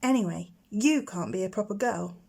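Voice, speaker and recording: A woman voicing a naive, eager young male barn owl chick in a storybook, inquisitive, blunt and quick to get huffy. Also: mid-range voice, lively intonation, vocal fry, mellow, slightly rough. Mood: cross.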